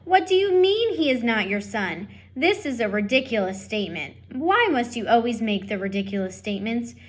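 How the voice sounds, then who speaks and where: A vain, theatrical mother mouse with a thick French accent waves off every worry in a haughty, dismissive tone, as a woman reading aloud puts her on. The voice sits a touch high and comes out loud and pushed. It is a storybook reading. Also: expressive, normal speed. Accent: French, put on. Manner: haughty, dismissive, broken grammar.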